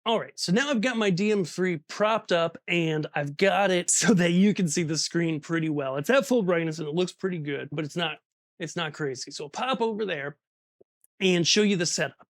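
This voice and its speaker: A man's voice: high-pitched, normal speed, no echo, clean studio sound. A man giving a casual, hands-on tutorial, chatty and informal, talking himself through each step as he goes. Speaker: presenter of audio gear tutorial. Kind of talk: casual hands-on instructional demo.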